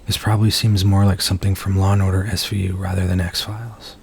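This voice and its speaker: A man playing a nervous, earnest werewolf in an intimate ASMR roleplay, speaking softly and haltingly as he confides in his date. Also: sits low, little pitch movement, a little hiss or hum. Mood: apologetic, earnest. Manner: soft-spoken, hesitant, halting, earnest.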